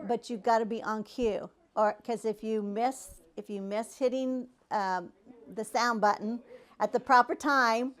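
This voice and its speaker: A woman from an amateur radio theater troupe chatting in an interview, hesitant and full of restarts. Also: a little high. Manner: hesitant, lots of ums and restarts. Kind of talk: casual interview.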